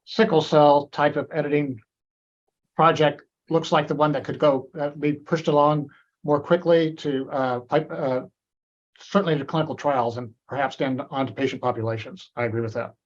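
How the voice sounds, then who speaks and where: A man hosts a medical grand rounds webinar and fields questions for the speaker. His voice sits a bit high, and he halts often with fillers and restarts. The audio is dull and muffled, like a video call. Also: steady tone, moderate pace. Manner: hesitant, many fillers and restarts. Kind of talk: moderating a webinar Q&A.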